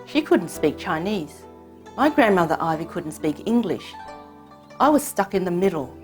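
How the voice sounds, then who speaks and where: A woman raised in Hong Kong whose first language is Cantonese, telling her family's story in measured, reflective scripted narration. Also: lowish voice, big pitch swings, faint music behind. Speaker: narrator of her own short film.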